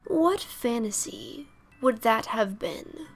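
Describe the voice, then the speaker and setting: A woman voicing a cryptic, ominous witch of the heath, speaking in slow solemn chants. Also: fairly high pitch, expressive, big-room sound, soft background music, heavily acted. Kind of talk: fan audio drama acting.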